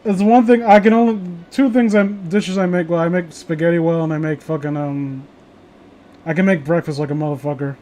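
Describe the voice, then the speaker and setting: A man with a high voice talks casually among friends. He rambles through his opinions and swears freely as he goes. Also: steady tone, fairly quick, mellow, slight background noise. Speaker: host of a reading show.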